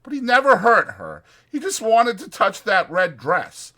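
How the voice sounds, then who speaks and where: A man voicing an exasperated but protective ranch hand in a dramatized audiobook, blunt and scolding, with a rough folksy drawl. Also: sits high, wildly swooping pitch, measured pace, pushed and bright, pitched above the performer's own voice, full character voice. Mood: agitated storytelling. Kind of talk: dramatized audiobook character acting.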